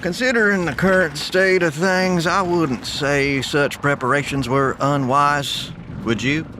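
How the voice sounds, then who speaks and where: An actor playing a wounded, laconic survivalist in an audio drama, talking in a dry, folksy, unhurried way. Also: male voice, a little high, fairly even intonation, close to an everyday voice. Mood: matter-of-fact. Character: gruff, laconic male survivalist metal worker, wounded. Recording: noisy outdoor vehicle ambience.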